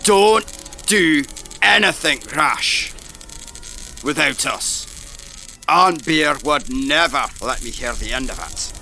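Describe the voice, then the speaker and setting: A man playing a gruff, protective warrior in a fantasy audio drama. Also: fairly high pitch, expressive, slow, pauses often, pushed and bright, soft background music, full character voice. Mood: gruff, concerned.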